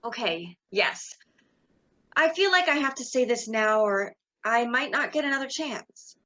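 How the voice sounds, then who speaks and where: A woman's voice: on the high side, expressive, a little slow, bright, forceful tone, lo-fi sound. A young woman playing a sardonic, exasperated sorority leader in a dramatic reading, theatrical and emphatic. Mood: frustrated, earnest.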